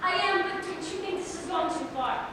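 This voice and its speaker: A woman acts a young stage queen with a high voice, earnest and firm as she confronts those who wronged her. She sounds distant in a reverberant hall, with clear background noise. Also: normal speed. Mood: pleading. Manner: earnest, dramatic, declarative. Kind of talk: staged play reading. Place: large echoing room, staged reading.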